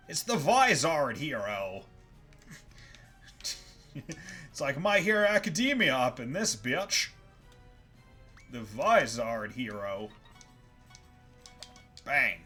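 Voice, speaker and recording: A man's voice: fairly high pitch, pushed and bright, husky. A man streaming a video game with casual, playful commentary, profane and full of jokey asides. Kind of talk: casual live gameplay commentary. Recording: faint game music behind.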